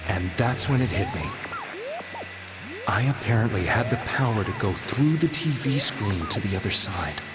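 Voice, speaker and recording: An actor playing an earnest, guilt-ridden delivery man in a video game, speaking in a halting, solemn, confessional way. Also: fairly low pitch, expressive, close to an everyday voice. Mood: somber, reflective. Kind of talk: dramatic video game voice acting. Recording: music behind the voice.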